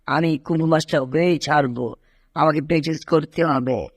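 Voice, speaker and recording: A man voicing an excitable, boastful cartoon cat who dreams of kung fu mastery, with whining exclamations. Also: on the high side, moderate pace, no echo, studio quality. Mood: defiant. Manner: animated, whining, exclaiming, shouting kung fu cries. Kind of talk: amateur cartoon dub in Bengali.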